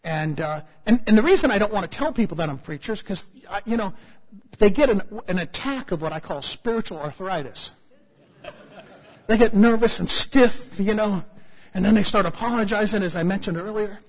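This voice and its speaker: Husky American preacher in a big hall. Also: male voice, high voice, normal speed. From United States. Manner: humorous, animated storytelling with asides. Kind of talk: storytelling sermon.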